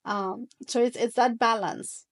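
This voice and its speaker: A woman's voice: on the high side, steady tone, a little slow. A middle-aged woman from Colombia, a podcast guest telling her story in an earnest, reflective way with frequent restarts.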